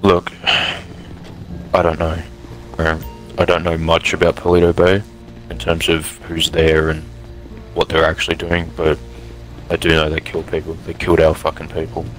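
A gruff male camp boss in a zombie game skit, acted by a man, very low in pitch with a flat, hard-edged, forceful tone. He is guarded and blunt, and faint music runs underneath. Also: normal speed, rough recording. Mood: grim, firm. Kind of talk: improvised roleplay acting in a video game skit.

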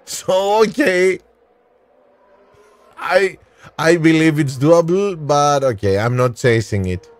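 A man in his thirties calls out race strategy and replies to his chat at a slow, easy pace. His voice sits at an ordinary pitch and comes through a headset mic with a dry, close sound. He often pauses with filler sounds while he thinks. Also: animated, dry recording. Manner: unhurried, talks to chat by name, frequent "uh" pauses. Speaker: game streamer. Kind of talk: live gameplay commentary and chat replies.

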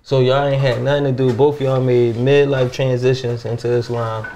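A man's voice: medium pitch, flat delivery, moderate pace, mellow. A man, chatting casually in slangy, informal speech as a podcast host. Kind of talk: casual chat interview. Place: home kitchen, indoors. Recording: clear sizzling kitchen noise behind. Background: frying and sizzling food.

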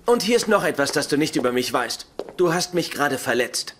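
A man's voice: fairly high pitch, lively intonation, brisk, fairly bright tone, slight background noise. A man playing a pedantic, socially awkward, easily hurt young nerd with precise, formal phrasing in German-dubbed sitcom dialogue.

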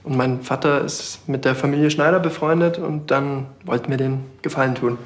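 An actor playing a polite, reserved newlywed husband with a plain manner. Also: male voice, medium pitch, fairly even intonation, normal speed, a little hiss or hum, natural voice.

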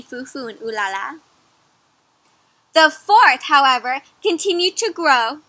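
A young woman with a high, bright voice hosts a read-aloud for kids. She speaks expressively, pitching everything to small children and inviting them in with questions. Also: no room sound. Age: young adult. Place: outdoors in a garden or patio.

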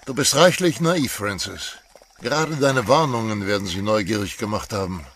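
A man's voice: on the low side, normal speed, fairly bright tone, slight background noise. A man playing a blunt, skeptical rancher. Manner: blunt, matter-of-fact. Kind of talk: radio play acting.

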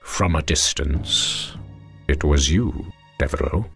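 Gravelly scheming lord. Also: male voice, slow pace, a bit bright, faint music behind, full character voice. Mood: conspiratorial. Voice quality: very deep and gravelly. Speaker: castle lord. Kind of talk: video game cutscene acting.

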